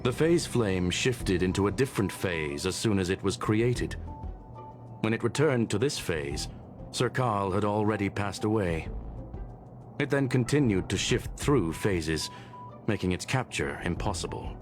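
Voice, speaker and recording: Calm scholarly game character, lowish voice, measured, bit of music behind. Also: male voice. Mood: calm, knowing. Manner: measured, expository. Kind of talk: scripted video game dialogue. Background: faint game music.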